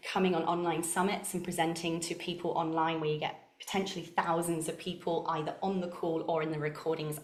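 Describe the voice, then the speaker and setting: Brisk low-voiced woman presenting. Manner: brisk, enthusiastic, talks in long flowing runs. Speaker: guest speaker. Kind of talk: online masterclass presentation and Q&A. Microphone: video call. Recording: echoey room on a video call.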